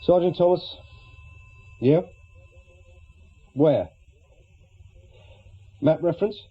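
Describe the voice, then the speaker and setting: A man's voice: on the high side, very slow, breathy edge, full character voice. A man playing an efficient, deferential police sergeant in a radio drama, speaking in clipped, factual phrases. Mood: businesslike. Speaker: actor in a radio play. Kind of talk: naturalistic radio drama acting. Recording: dull, lo-fi radio drama recording.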